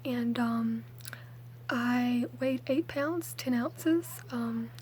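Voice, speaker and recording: A young woman, speaking softly and often whispering in a chatty, hesitant ASMR storytelling style. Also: on the high side, drawn-out words, slight background noise. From Mississippi, USA. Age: late teens. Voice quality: soft, hushed, often whispered. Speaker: ASMR video creator. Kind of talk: ASMR, rambling personal storytelling.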